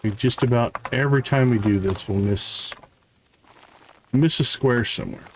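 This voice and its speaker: A man's voice: mid-range voice, normal speed, a little hiss or hum. A man giving casual live game commentary as a streamer, thinking aloud and chatting to viewers.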